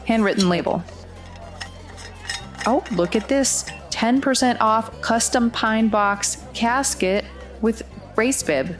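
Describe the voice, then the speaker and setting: A woman's voice: fairly high pitch, slow, faint music behind. A woman playing a determined, wary young runner in a naturalistic audio drama, with a dry, skeptical, conversational manner. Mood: wary, wry.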